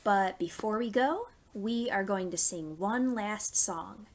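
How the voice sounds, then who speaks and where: A woman hosting a storytime for young children, reading stories and giving simple, clearly phrased instructions. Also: mid-range voice, slow pace. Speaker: children's library storytime host.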